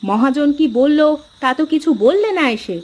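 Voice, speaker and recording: A woman acts out a fisherman's wife in a cartoon village tale. Her voice is high. She comes across as spirited, plain-spoken and encouraging. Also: sing-song, normal speed, darkish tone. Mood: concerned, defiant. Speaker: cartoon character voice. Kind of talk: Bengali cartoon story dialogue.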